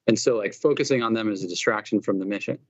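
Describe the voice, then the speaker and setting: A man's voice: lowish voice, fast talker, dry recording, dull audio. A man speaking as a company executive on an earnings call, conversational and emphatic, unscripted over a conference line.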